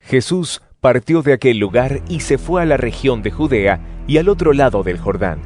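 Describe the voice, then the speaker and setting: A man's voice: mid-range voice, lively intonation, moderate pace, darkish tone, faint music behind. A man narrating scripture for an audio drama in a scripted storytelling style.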